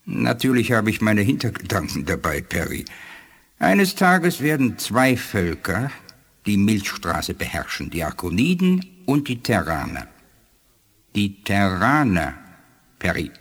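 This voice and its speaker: An authoritative alien scientist in a sci-fi radio drama. His voice is husky and moves widely in pitch. He lectures formally and deliberately, with shrewd dignity. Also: sing-song, fairly bright tone, exaggerated voice. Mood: solemn, persuasive. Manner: measured, formal, didactic delivery. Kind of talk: 1980s German sci-fi radio play acting.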